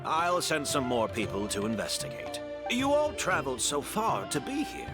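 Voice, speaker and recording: A man's voice: on the high side, huge pitch swings, normal speed. An actor playing a mild-tempered, polite and somewhat hesitant male hotel host in a video game. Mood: measured. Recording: music behind the voice.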